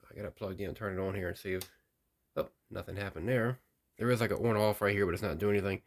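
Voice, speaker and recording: A man's voice: fairly low pitch, fairly even intonation, quick pace. A man casually narrating an unboxing as a hobbyist host, musing and thinking aloud. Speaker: radio hobbyist video host.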